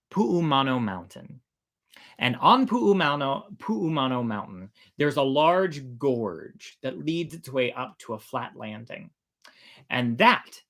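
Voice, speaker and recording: Storytime host, informal and playful, with highly varied intonation and a conversational delivery. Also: fairly bright tone. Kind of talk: informal animated folk-tale storytelling.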